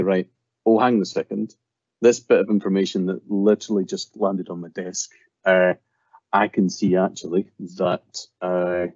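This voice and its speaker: A man's voice: deep voice, a little mellow, no room sound, highs cut off. A man with a Scottish accent walking through security tooling in a webinar, rambling and repeating his stock phrases. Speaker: security presenter. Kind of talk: technical webinar walkthrough.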